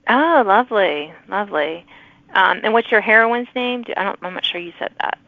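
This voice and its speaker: A woman's voice: medium pitch, expressive, moderate pace, dry recording. A woman hosting a podcast, interviewing in a conversational, chatty and encouraging way. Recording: narrow, phone-like sound.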